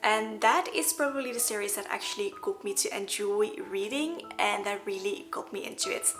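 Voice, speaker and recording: A young woman from the Netherlands, a native Dutch speaker with a Dutch accent, chatting earnestly to camera in a vlog with soft laughs. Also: mid-range voice, normal speed. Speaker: vlogger. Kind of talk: casual talk to camera. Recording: background music.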